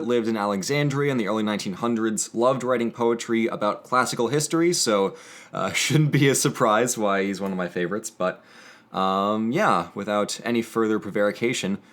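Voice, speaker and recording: A man hosting and reading poetry, moving between casual commentary and measured, theatrical literary delivery. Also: lowish voice. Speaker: host of an educational channel.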